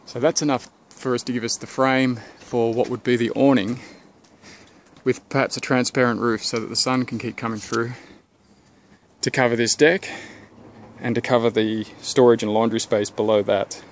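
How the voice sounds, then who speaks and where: A man, thinking aloud at an unhurried pace as he walks around his house and plans renovation work, pausing often with ums and restarts. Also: mid-range voice, little pitch movement, a little hiss or hum. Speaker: homeowner giving a renovation tour. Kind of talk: casual thinking-aloud walkthrough. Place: outdoors around a house, under a deck and in a garden. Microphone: handheld camera held by the speaker.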